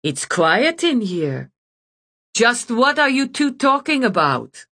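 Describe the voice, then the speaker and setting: A woman playing a slow, gloating witch. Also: a little high, sing-song, bright, forceful tone, breathy edge, pitched above the performer's own voice, exaggerated voice. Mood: sly. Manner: slow, sing-song menace. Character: sinister child-snatching witch woman, gloating.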